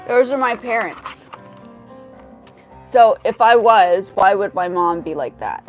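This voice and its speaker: Host, forties woman, mid-pitched voice, loose rambling chat to viewers, faint music under her. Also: animated, takes it slowly. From grew up in South Africa, the United States and Japan. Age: 40s. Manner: rambling, full of restarts and asides to chat. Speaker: livestream host. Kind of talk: casual livestream chat.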